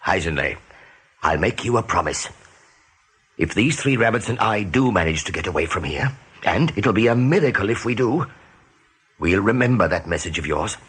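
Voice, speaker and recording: An actor playing a steady, kindly rabbit major in a radio play, measured and resolute. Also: male voice, lowish voice, breathy edge, exaggerated voice. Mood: solemn, reassuring.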